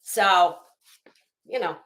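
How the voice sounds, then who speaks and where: A woman's voice: fairly low pitch, drawn-out words, a bit bright, roomy sound. A middle-aged woman hosting a casual livestream, rambling through stories in a sassy, wry, profane way. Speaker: livestream host.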